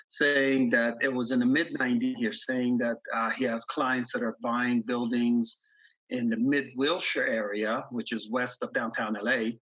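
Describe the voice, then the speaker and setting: A man in his fifties from Iran talks through his past as a podcast guest, pausing often with ums and restarts. His voice is husky and mid-pitched. The recording sounds dull and lo-fi. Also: a bit bright. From grew up in Iran, born in the US. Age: 50s. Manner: halting, many ums and restarts. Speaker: guest investor. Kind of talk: casual interview storytelling.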